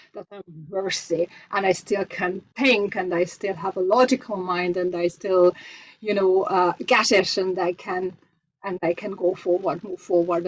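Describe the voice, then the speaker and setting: A woman's voice: lowish voice, dull audio, slight background noise. A woman from Hungary with a Hungarian accent, giving an earnest online masterclass presentation from slides and putting questions to her audience. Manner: earnest, asks the audience questions, restarts phrases.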